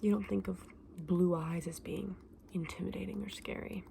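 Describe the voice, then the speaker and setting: A woman playing a friendly, candid dragon egg seller in a whispered, soft-spoken ASMR roleplay, explaining things with small hesitations. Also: lowish voice, fairly even intonation, moderate pace, creaky, a little hiss or hum. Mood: cautioning.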